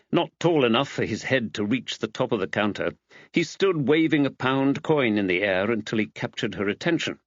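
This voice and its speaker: A man's voice: mid-range voice, dry recording. A man reading a scripted mystery audiobook as its narrator, on slightly dull audio.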